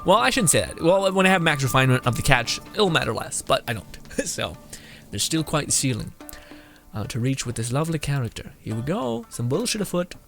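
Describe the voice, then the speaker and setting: A male game streamer with a lively, swooping, slightly high voice. He commentates casually, jokes and swears, with game music clearly audible behind him. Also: brisk. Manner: jokey, profane, repeats catchphrases. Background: video game music.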